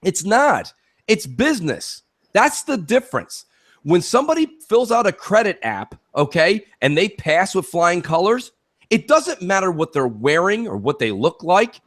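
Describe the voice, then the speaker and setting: A man with a fairly high voice and very lively intonation co-hosts a call-in gaming show. He argues his opinions emphatically, using rhetorical questions and punchy repetition to drive each point home. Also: measured pace. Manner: emphatic, rhetorical, punctuates points with "okay" and "listen". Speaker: co-host of a gaming talk show.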